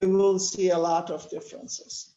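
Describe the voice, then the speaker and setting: An older man from Turkey, speaking natively, giving a measured lecture-style talk with many pauses. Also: high-pitched, little pitch movement, mellow, roomy sound, lo-fi sound. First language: Turkish. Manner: measured, explanatory, with frequent "uh" pauses. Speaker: panel speaker. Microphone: video call.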